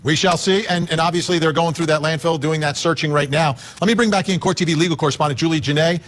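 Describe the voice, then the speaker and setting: A man anchoring live news and interviewing in a conversational, slightly halting manner. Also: on the high side, fairly bright tone.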